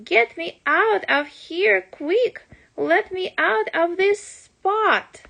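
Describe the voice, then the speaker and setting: A woman's voice: very high voice, drawn-out words, creaky, fairly bright tone, voice pushed up, heavily acted. A woman voicing a hungry, indignant male pet goldfish in a children's storybook, insistent and complaining. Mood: indignant, demanding.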